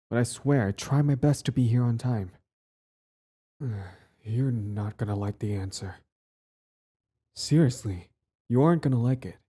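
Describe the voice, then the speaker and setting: Guy voicing a villain love interest for an audio roleplay, mellow lowish voice, casual, wry, cocky but gets flustered. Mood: sheepish, exasperated. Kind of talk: one-sided romantic audio roleplay, conversational.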